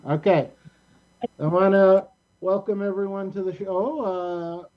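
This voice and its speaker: Halting show host on dull call audio. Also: medium pitch, fairly even intonation. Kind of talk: casual show welcome, introducing guests. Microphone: video call.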